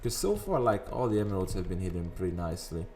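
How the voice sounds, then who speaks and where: A man with a raspy morning voice, chatting casually over gameplay and rambling into sidetracks. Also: low voice, very animated, quick pace, darkish tone. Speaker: gaming video host. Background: faint background music.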